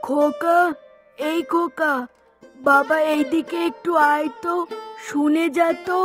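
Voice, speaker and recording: A woman's voice: high-pitched, level tone, slow pace, music in the background. A woman voicing a nagging, worried widowed mother of a grown son in a moral-story cartoon, chiding and complaining. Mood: instructing.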